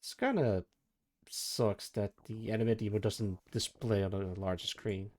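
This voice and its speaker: A sleepy man chatting casually and mumbling to himself on a live stream while he works, with energetic music faintly behind him. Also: on the low side, a little slow. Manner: soft, meandering, mumbles to himself, trails off. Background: faint energetic soundtrack music.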